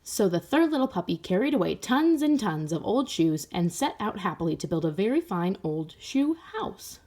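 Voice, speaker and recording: A woman's voice: mid-range voice, big pitch swings, normal speed, a little mellow. A woman reading a storybook aloud for children, expressively, speaking directly to young listeners. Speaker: storybook reader. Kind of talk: animated children's read-aloud.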